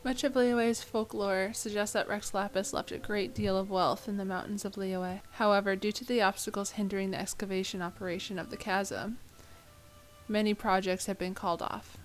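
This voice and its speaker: Girl streamer doing casual game commentary, medium pitch, soft music in the background. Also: fairly even intonation, moderate pace. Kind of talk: casual playthrough commentary and reading aloud.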